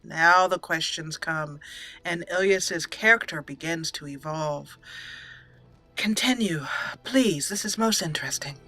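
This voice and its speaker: A woman hosting a video game let's play, giving in-character roleplay commentary in a dramatic, deliberate way with pauses. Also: low-pitched, pushed and bright, soft background music.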